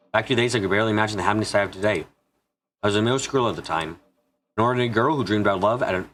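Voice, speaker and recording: A man's voice: fairly low pitch, fairly bright tone, slight background noise, rough recording. A man reading a visual novel aloud as dramatic scripted narration on a stream.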